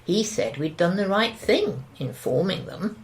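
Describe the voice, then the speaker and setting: A woman's voice: lowish voice, big pitch swings, a little slow. An actress playing a curtain-twitching suburban wife in an audio drama, gossipy, anxious and easily shocked, with a British accent and a hesitant, insinuating way of talking. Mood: reflective. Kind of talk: audio drama dialogue, naturalistic.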